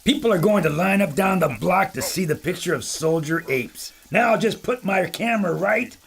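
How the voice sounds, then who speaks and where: A man's voice: high voice, animated, moderate pace, vocal fry, a bit bright, exaggerated voice. A man playing a brash wisecracking photographer. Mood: eager. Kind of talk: audio drama acting.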